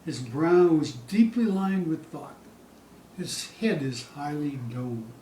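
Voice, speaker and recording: A man giving a theatrical poetry recital, dramatic and suspenseful, with drawn-out phrases moving between hushed dips and loud peaks. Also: a little high, animated. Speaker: poetry reader. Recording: distant, echoey room with faint hiss.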